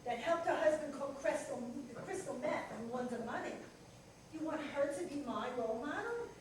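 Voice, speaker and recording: A woman's voice: high-pitched, expressive, normal speed. A woman playing an exasperated, theatrical middle-aged wife in a staged reading of a comic play, with an emphatic, dramatic delivery. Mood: exasperated, sarcastic. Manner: dramatic, emphatic, rhetorical questions. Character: mature wife, exasperated, theatrical, self-doubting would-be actress. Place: live theater reading in an echoey room. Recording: echoey hall from far off, noisy.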